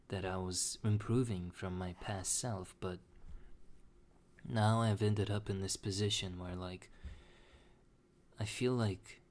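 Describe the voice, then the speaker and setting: A young man talking slowly and hesitantly in a personal confessional monologue, with many restarts. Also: low voice. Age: young adult. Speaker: vlogger.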